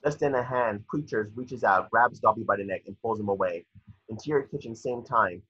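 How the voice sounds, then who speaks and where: A man narrates screenplay directions in an amateur table read. His voice is low and forceful with a husky edge, recorded slightly muffled over a video call. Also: moderate pace. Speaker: narrator reading stage directions.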